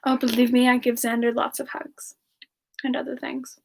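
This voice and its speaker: A woman voicing a gentle, playful siren entertainer in a soft, hesitant semi-ASMR chat, answering questions in character. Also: fairly high pitch, monotone, creaky, husky. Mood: playful, teasing. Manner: soft, unhurried, giggly, hesitant.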